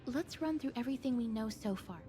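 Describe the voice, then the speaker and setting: A woman playing a composed, thoughtful young companion in a video game, speaking in a measured, earnest and articulate way. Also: fairly high pitch, over music, lightly acted. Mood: calm, thoughtful. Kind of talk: video game character dialogue.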